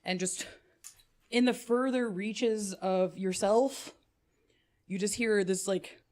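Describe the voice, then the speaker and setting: A woman's voice: mid-range voice, steady tone, takes it slowly, darkish tone. A woman narrating as game master in a casual, profane way, full of filler words.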